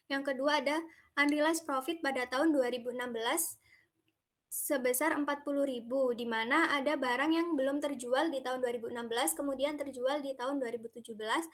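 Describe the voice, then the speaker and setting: A young woman reads through a slide presentation as a student presenter. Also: high voice. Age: young adult.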